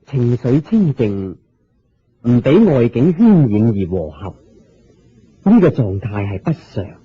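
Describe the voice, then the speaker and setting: An actor playing a serene, wise Buddha in a Cantonese radio drama, teaching in measured phrases with a husky voice and a Hong Kong accent. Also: male voice, medium pitch, big pitch swings, heavily acted. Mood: solemn, instructive. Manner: measured, sermon-like explaining in short phrases. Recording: dull lo-fi radio drama recording.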